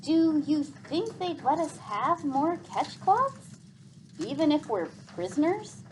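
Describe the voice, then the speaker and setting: A woman's voice: high voice, big pitch swings, slow, slight background noise, voice pushed up, exaggerated voice, low-quality recording. A woman reading the part of a young boy hero in a storybook, irritated but kind, with blunt exclamations and questions. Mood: surprised.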